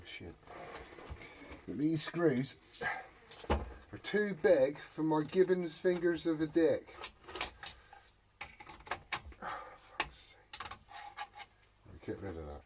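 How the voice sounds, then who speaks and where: A British man rambling casually and jokingly to viewers while repairing something, swearing often and muttering to himself. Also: medium pitch. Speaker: hobbyist repairer presenting a video. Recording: noisy home recording with clicks.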